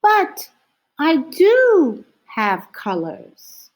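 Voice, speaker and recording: Woman voicing a high, eager little boy owl. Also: huge pitch swings, drawn-out words, exaggerated voice. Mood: earnest, hopeful.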